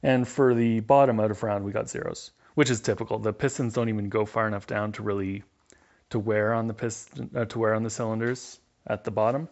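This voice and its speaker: A man's voice: on the low side, fairly even intonation, brisk, a little mellow, lo-fi sound. A man giving a casual, matter-of-fact instructional voice-over as a hands-on mechanic.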